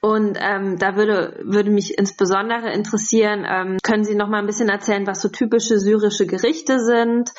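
A woman hosting a cooking interview podcast, reading a scripted intro and then asking slow questions with fillers. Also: mid-range voice, level tone, highs cut off. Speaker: podcast host.

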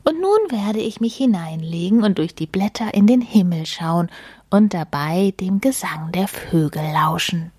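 A woman's voice: fairly low pitch, expressive, unhurried, a little mellow, slightly rough, dry recording, studio quality. A woman voicing a trusting, patient little male mouse from a storybook, eager and good-natured, with a questioning manner. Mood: cheerful, curious.

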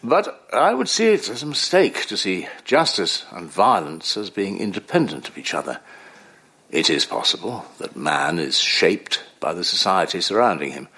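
A learned psychoanalyst in a period radio play, with a very low, husky voice. His speech is measured and probing, yet the pitch rises and falls expressively as he reflects. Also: bright, forceful tone, full character voice. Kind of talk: audio drama acting.

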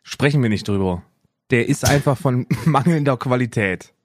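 Male podcast co-host, medium pitch, loose slangy banter, joking delivery, dry close-miked recording. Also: moderate pace, dry recording. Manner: slangy, jokey. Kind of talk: casual banter chat.

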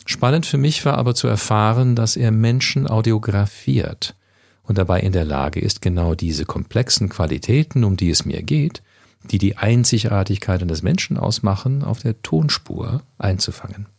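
A Canadian man who learned English first, speaking German as a coach and podcast host in a measured, reflective way. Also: on the low side, gravelly, darkish tone, dry recording, clean studio sound. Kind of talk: scripted intro then interview answers.

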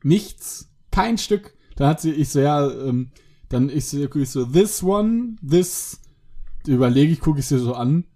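A man's voice: a little high, lively intonation, unhurried, mellow. Rambling, chatty young-sounding man. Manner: rambling, self-interrupting, laughs at own stories. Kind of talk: casual chat.

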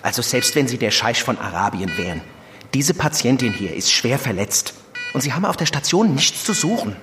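A man playing a firm, officious, indignant hospital staffer in an audio drama, speaking in a brisk, reproving, formal way. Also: mid-range voice, sing-song, noisy background, natural voice. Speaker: actor in a fiction podcast. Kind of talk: naturalistic German audio drama.

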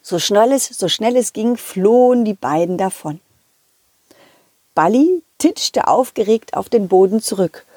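A woman's voice: medium pitch, expressive, a little slow, mellow, husky, dry recording, clean studio sound. A woman voicing a confident, loyal, friendly dog who tells children's audio stories and speaks straight to young listeners. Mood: excited storytelling. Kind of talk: children's audio play narration.

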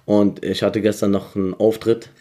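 A hoarse young man co-hosting a podcast in easygoing, joking chat. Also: lowish voice, level tone, moderate pace, mellow. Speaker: podcast co-host. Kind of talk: casual chat.

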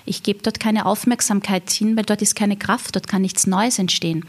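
Breathy woman, earnest and reflective. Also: medium pitch, steady tone, moderate pace. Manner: earnest, reflective, with frequent fillers. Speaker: guest, event agency owner. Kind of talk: interview conversation.

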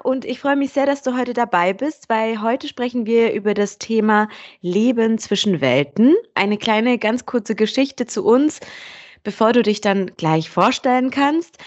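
A woman's voice: on the high side, muffled recording. A woman hosting a podcast, talking in an enthusiastic, casual way with frequent fillers. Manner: warm, enthusiastic, chatty with fillers. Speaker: podcast host. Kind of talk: casual interview chat.

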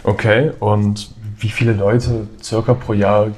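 Podcast host guy, lowish voice, asks his questions calm and steady, echoey room, bit of hiss. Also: slow pace. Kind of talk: interview. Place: language school room. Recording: roomy echo, faint background noise.

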